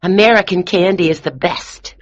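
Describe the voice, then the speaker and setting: A woman's voice: fairly low pitch, moderate pace, dull audio, lightly acted. A woman voicing a blunt, chatty small American boy with a simple, direct manner in an audiobook. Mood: cheeky, matter-of-fact. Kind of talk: audiobook character dialogue.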